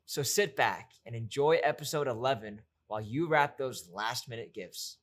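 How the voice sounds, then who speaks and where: A man's voice: medium pitch, normal speed. A man hosting a show, reading a scripted introduction with a lightly joking manner. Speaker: podcast host.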